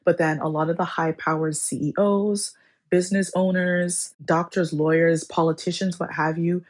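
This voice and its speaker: A woman with a raspy voice, worn down by a cold, talks to her channel's viewers. Her voice sits low, and she builds her advice in calm, measured lists. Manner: measured, lecturing, lists points one by one. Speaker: channel host. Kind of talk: advice monologue to camera.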